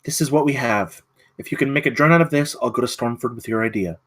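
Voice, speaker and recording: A man's voice: mid-range voice, quick pace, a bit bright, lightly acted. A man acting an earnest, businesslike military guide in a script table read. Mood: brisk, questioning.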